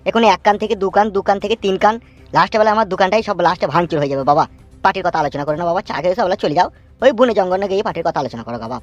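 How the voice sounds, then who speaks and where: A woman's voice: medium pitch, expressive, very fast, no room sound, highs cut off, faint music behind, close to an everyday voice. A woman voicing an irritable older tea-stall keeper in a comic cartoon, scolding coarsely. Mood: wary, pleading. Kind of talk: comic cartoon dialogue in rural dialect.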